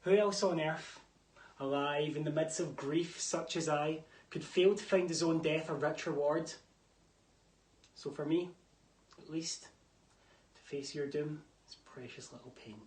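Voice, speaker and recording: A young man performing classical theatre monologues in a declamatory style, swinging between loud outbursts and hushed whispers. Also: on the high side, measured pace, long pauses. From Scotland. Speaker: drama student performing monologues. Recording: distant mic with faint room noise.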